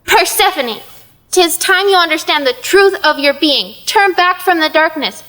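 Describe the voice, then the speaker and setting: A woman's voice: very high-pitched, expressive, normal speed, bright, forceful tone, exaggerated voice. A woman playing a fretful, theatrical older lady who scolds and implores in an audio play. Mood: grave, imploring. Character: fretful, melodramatic older Mrs. Lee, pleading with gods.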